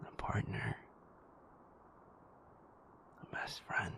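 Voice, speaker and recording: A man playing a tender, protective werewolf partner in an intimate ASMR roleplay, speaking gently and haltingly in a breathy, gravelly near-whisper. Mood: earnest, loving. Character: tender, protective male werewolf partner comforting a sleepless lover.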